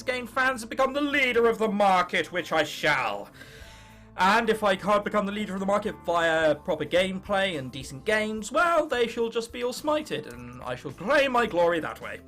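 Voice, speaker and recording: A man's voice: high voice, a bit bright. A 19-year-old man with an English accent doing casual, sarcastic game commentary with mock bravado, sometimes reading on-screen text aloud. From England. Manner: sarcastic, self-mocking bravado, casual swearing. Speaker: gaming video host.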